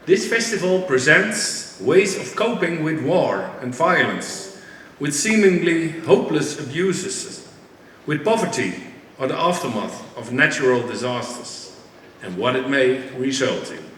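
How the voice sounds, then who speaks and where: A man giving a measured, serious address about a festival. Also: fairly high pitch, lively intonation, a bit bright. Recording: reverberant hall from far off, faint noise.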